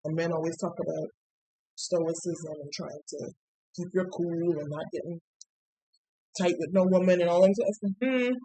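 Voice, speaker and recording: A young woman with a light Trinidadian accent talks in a casual vlog, chatty and often tagging questions onto her sentences. Her voice is fairly low and husky, and she pronounces words with care because of a slight speech impediment. The recording sounds dry and close. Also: normal speed, mellow, no room sound, rough recording. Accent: Trinidadian, light and coming in and out. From Trinidad and Tobago. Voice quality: speech impediment, careful pronunciation. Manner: chatty, often asks "right?". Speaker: YouTuber.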